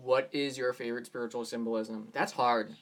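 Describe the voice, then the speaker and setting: A man's voice: medium pitch, a little fast. A man hosting a casual live question-and-answer stream on his phone, rambling loosely with lots of "like" fillers. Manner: rambling, relaxed, many "like" fillers. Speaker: livestream host answering viewer questions. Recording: loud guitar music behind voice. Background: acoustic guitar playing.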